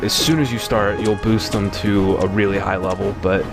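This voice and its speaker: A man with a deep voice giving relaxed, rambling commentary as he plays through a game and reads its text aloud. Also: normal speed. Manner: relaxed, rambling, many fillers and restarts; reads the game's dialogue aloud in his own voice. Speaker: let's play host. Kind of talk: casual gameplay commentary. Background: game music.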